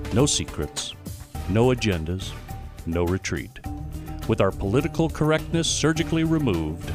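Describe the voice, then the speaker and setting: A man with a lowish voice reads a scripted show opening in a dramatic, measured announcer style. A clear instrumental music bed plays under him throughout. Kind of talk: scripted show intro voice-over.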